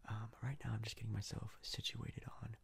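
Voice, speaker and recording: A man whispers his way through ASMR game commentary in a low, very breathy and husky voice. Heavy gravelly fry runs through it. He rambles hesitantly, often doubling up on words. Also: level tone, a little fast. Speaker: gaming video host.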